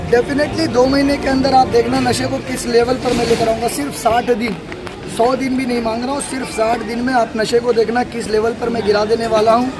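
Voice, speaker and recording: A man giving an assertive, emphatic political pitch as a candidate in a campaign interview, often repeating his points. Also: flat delivery, fairly quick, rough recording. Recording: loud music, crowd and street traffic. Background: music, crowd, vehicles.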